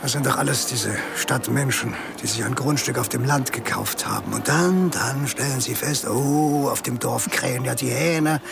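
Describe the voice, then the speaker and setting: Actor as a gravelly, smug male local politician. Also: mid-range voice, moderate pace, hardly any pauses, over music, natural voice. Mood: dismissive scorn. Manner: patronizing, sardonic, chuckling asides. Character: smug, condescending male district politician, middle-aged, evasive and scornful. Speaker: actor playing a district administrator. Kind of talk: German radio crime drama acting.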